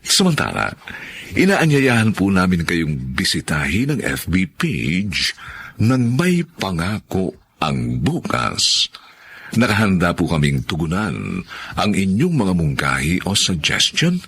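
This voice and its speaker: A man's voice: low voice, big pitch swings, measured pace, a bit bright, a little hiss or hum. A man announcing a Filipino radio drama, reading scripted closing narration and credits in a formal, dramatic style.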